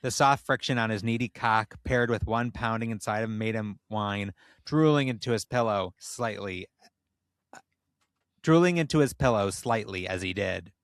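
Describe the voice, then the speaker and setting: A man with a fairly low voice reads a steamy comic story aloud as a podcast host. His narration is expressive and the recording is dry and close, with little room sound. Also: moderate pace, no room sound. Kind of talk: comedic reading of erotic fan fiction.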